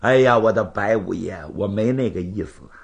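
A storyteller as a composed, gravelly knight-errant. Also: male voice, on the low side, dull audio, pitched below the performer's own voice. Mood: courteous, firm. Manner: measured, conciliatory, gently reasoning. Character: courteous, composed knight-errant, patient but firm under provocation. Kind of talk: Chinese storytelling performance.

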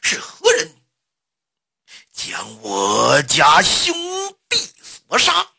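A storyteller playing a grandiose, emotional king in a traditional tale, raspy, with archaic, stately and drawn-out lines. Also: medium pitch, huge pitch swings, sharp tone, voice pushed down, heavily acted. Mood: thunderous outrage. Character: grandiose grieving king, adult man, thunderous then mournful.

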